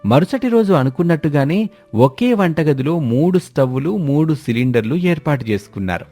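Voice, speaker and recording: A man's voice: a little high, expressive, normal speed, dark tone. Male storyteller over background music. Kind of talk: scripted story narration. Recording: music behind the voice.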